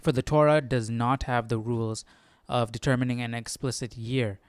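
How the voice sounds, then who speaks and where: A man with a smooth, clean voice on the low side, recorded close and dry. He hosts a Bible study stream, talking to himself in an informal, inquisitive way and reading passages aloud as he goes. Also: fairly even intonation, moderate pace, dry recording. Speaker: Bible study livestream host. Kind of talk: casual livestream study, thinking aloud and reading articles aloud.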